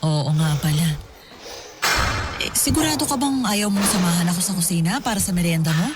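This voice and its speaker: A middle-aged woman playing a warm, teasing mother in a radio drama, chatty and affectionate with her son. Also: lowish voice, lively intonation, a little fast, lightly acted. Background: music.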